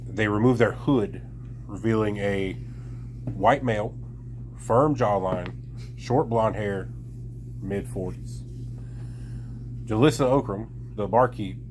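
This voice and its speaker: A man acting as game master, narrating an improvised tabletop game and talking with players in a halting, deliberate way. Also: on the low side, darkish tone, a little hiss or hum.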